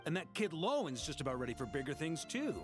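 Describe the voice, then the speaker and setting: An upbeat video game knight officer with a very animated, swooping delivery. He is confident and folksy, coaxing in a playful way, with clear music playing behind him. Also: on the high side, quick pace.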